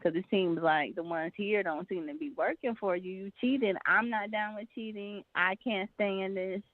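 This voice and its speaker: A woman's voice: medium pitch, fairly even intonation, moderate pace, no echo. A woman playing along in an improvised role play, skeptical and full of questions. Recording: dry phone-like line.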